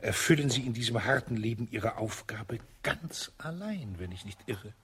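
Stuffy old colonel, lots of ums and ahs, a bit of hiss behind. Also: male voice, mid-range voice, animated, moderate pace, a bit bright. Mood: flirtatious. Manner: blustering, hesitant with many fillers. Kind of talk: radio drama acting.

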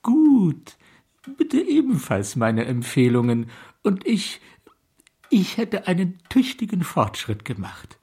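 Husky, slow, eccentric old gentleman. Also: high voice, huge pitch swings, full character voice. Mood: puzzled, earnest. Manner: halting, wandering, confiding. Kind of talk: radio play acting.

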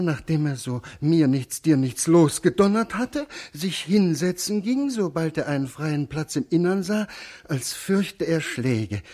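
A man's voice: on the high side, darkish tone. An actor playing a writer who muses aloud over his own story in a literary radio monologue. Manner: self-commenting, musing.

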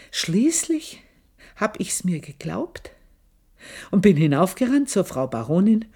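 A proud, bitter housemaid long in service, confiding her story in a radio play. Her voice sits a little low, and she speaks with intense scorn and a close, confessional tone. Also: dark tone, breathy edge, heavily acted. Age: older. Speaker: narrator of her own story.

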